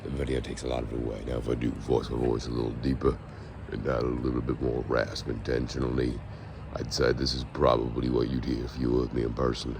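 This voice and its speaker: A hoarse young man with a gravelly voice talks at the very bottom of his range. He rambles casually to a handheld phone as he walks outside. Passing traffic and outdoor noise sit clearly behind him. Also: very deep voice, little pitch movement, fast. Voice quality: raspy. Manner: rambling, musing to camera. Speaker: vlogger. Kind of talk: casual walking vlog. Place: outdoors, walking on a foggy street.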